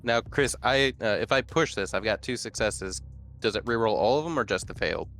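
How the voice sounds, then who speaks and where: Low-voiced man playing tabletop. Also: fairly quick, a bit bright. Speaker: tabletop game player. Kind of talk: casual game chat.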